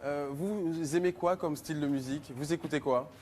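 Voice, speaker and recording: Slightly high-voiced male TV host. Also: darkish tone, slight background noise. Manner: brisk, frequent "euh" fillers. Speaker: TV show host. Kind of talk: TV interview questions.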